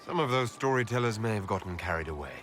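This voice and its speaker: An actor playing a steady, plain-spoken leader in a fantasy video game, composed and direct. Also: male voice, lowish voice, fast talker, faint music behind. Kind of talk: video game dialogue acting.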